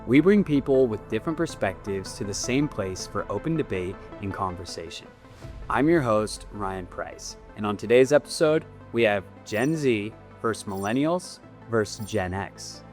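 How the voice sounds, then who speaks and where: A man hosting a debate show, giving a brisk, welcoming introduction. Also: lowish voice, animated, a little mellow, faint music behind. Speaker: host.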